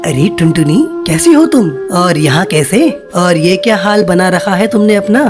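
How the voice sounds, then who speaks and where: A woman's voice: fairly low pitch, lively intonation, moderate pace, music in the background, natural voice. A woman voicing a kind, concerned young male bird in a cartoon, caring and reassuring. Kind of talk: cartoon voice acting.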